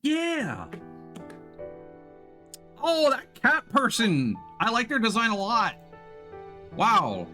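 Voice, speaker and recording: A man's voice: extremely high pitch, wildly swooping pitch, drawn-out words, pauses often, sharp tone. A man giving casual gaming commentary, thinking aloud and sometimes reading on-screen text. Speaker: streamer. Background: game music.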